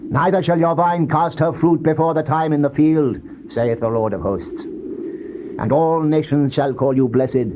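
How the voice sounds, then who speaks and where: An actor playing a stern God of Israel speaking judgment, in a solemn, declamatory dramatized scripture reading. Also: male voice, on the high side. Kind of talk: dramatized scripture reading, classical stage delivery. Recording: muffled, noisy old cassette tape.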